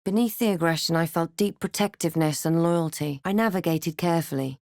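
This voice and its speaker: A woman playing a wry, wary spellcasting adventurer in a video game, speaking in a measured, dramatic and dry manner. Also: fairly low pitch, darkish tone, no echo, lightly acted. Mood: hushed narration. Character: snarky adventurer heroine, wary, wry, spellcasting fantasy protagonist. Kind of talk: video game voice lines, remarks and first-person narration.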